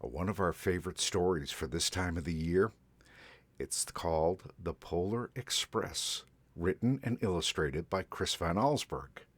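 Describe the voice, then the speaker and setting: A man in a Santa persona reading a picture book aloud to children in a gentle, expressive way. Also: deep voice, a little slow, no echo. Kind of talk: storybook read-aloud to children.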